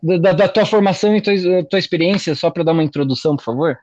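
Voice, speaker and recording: A man's voice: fairly high pitch, quick pace, darkish tone, no room sound. A man hosts a podcast, asking his guest questions with plenty of repeated words and small jokes. His voice is dry and close, with no room sound. The sound is dull and lo-fi. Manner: hesitant, repeats words, joking. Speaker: podcast host. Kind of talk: casual interview.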